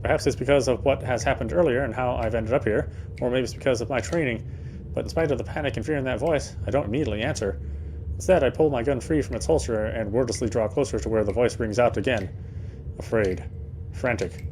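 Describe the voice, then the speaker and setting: A man's voice: medium pitch, fast talker, darkish tone, slight background noise, low-quality recording. A man reading first-person game narration aloud in a dramatic storytelling style, now and then stumbling over words.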